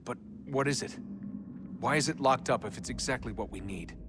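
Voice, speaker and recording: A man's voice: mid-range voice, expressive, moderate pace, noisy background, natural voice. An actor with an American accent playing a troubled, earnest young man in a video game, speaking in a hesitant, understated way. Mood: pensive. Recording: music behind the voice.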